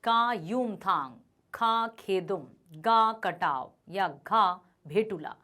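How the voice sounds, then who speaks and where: A woman's voice: mid-range voice, lively intonation, very slow, sharp tone. A woman teacher going through multiple-choice exam questions. She reads out each set of options and then explains the answer to her students. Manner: addresses students as children. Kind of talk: exam revision lesson.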